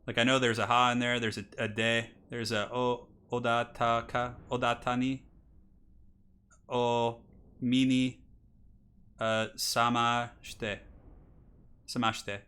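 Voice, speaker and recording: Bright-sounding guy in his 30s streaming, chatting w donors then casting a strategy game match, gets hyped on intros. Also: mid-range voice, level tone, slow, pauses often, clear, resonant tone. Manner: chatty, reads donations aloud, laughs often. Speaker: streamer and tournament caster. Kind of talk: casual live-stream chat and game commentary.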